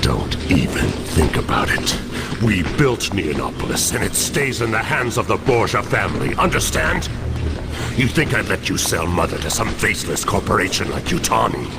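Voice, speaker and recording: An actor playing a sinister, older crime patriarch in a video game, grandiose and patronizing, with a gravelly voice. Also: male voice, fairly low pitch, big pitch swings, moderate pace. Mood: stern. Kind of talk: dramatic video game voice acting. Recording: loud music under the voice. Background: loud music.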